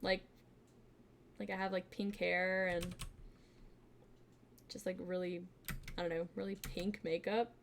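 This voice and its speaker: A young woman streamer chatting casually with her viewers, bubbly and giggly, with lots of fillers, switching between quiet asides and excited greetings. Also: measured pace, long pauses, slight background noise. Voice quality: fairly deep voice for a woman. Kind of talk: casual live-stream chat.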